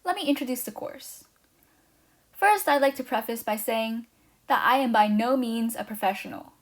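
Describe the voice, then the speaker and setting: Brisk, slightly higher-pitched young woman host. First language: not Chinese. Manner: quick, eager, slightly nervous talker. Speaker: language teacher, channel host. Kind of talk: scripted channel introduction.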